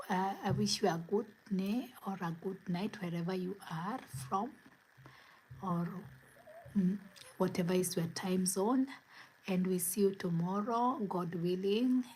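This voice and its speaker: A woman from Kenya hosting a spiritual livestream from home, speaking in gentle, repetitive affirmations. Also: lowish voice, a little hiss or hum, poor audio. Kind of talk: inspirational livestream talk. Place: home, where it is late at night.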